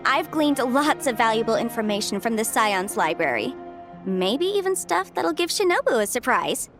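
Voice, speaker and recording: A woman voicing a cheerful, easily flustered young horned scholar in a video game, chatty and expressive. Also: a little high, moderate pace. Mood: upbeat, chatty. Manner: chatty, expressive, hesitant when put on the spot. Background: background music.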